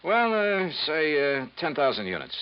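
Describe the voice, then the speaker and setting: An actor with an American accent playing a shrewd investigator in a 1950s radio detective drama. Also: male voice, on the high side, sing-song, measured pace, clear, resonant tone. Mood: shrewd, skeptical. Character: shrewd male investigator bluffing as a businessman. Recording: muffled, hissy 1950s radio recording.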